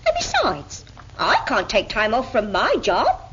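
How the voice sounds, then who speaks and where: A busy, no-nonsense wife and mother from a 1940s cartoon. Her high voice swings widely in pitch. The old film sound is muffled under loud music. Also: wildly swooping pitch, measured pace. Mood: indignant.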